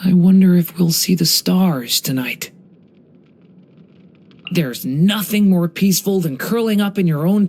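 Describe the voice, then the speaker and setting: A young man voicing a gentle, earnest dragon boy for a video game, polite and slightly hesitant. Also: high voice, heavily acted. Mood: gentle, curious. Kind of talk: video game character voice lines.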